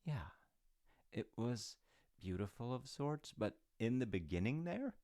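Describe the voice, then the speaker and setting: Guy acting as a chill reflective dad in an audio roleplay, slow and rambly, creaky gravelly voice, reassuring. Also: big pitch swings. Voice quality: deep, gravelly. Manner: unhurried, musing, gently reassuring. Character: reflective, encouraging, easygoing storytelling father.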